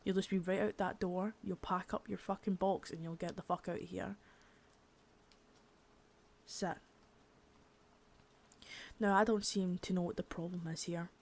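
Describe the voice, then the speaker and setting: A woman's voice: on the low side, steady tone, a little slow, vocal fry, pauses often. A woman voicing a stern, foul-mouthed female boss in an ASMR roleplay, harsh and cold, often dropping to a whisper.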